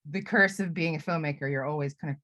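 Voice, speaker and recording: Woman director of Lebanese origin, thirties, low voice, dry close sound, reflective and chatty on a video call. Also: fast, a bit bright, no room sound. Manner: chatty, self-reflective, laughs often, many restarts. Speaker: film director being interviewed.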